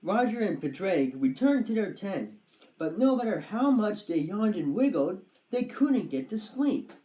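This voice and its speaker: Children's read-along narrator, expressive and scripted, mid pitch, roomy recording. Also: measured pace. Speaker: storybook narrator.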